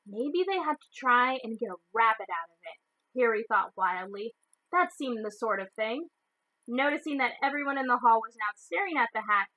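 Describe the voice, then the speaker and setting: A woman storyteller reading a children's novel aloud in expressive narration. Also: on the high side, dry recording, poor audio.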